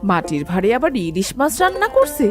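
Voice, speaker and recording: A woman's voice: on the high side, wildly swooping pitch, fast, music in the background. A woman playing an amazed, inquisitive village neighbour in a fairy-tale cartoon, full of exclamations. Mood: amazed, curious. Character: amazed, curious village neighbour eager to eat.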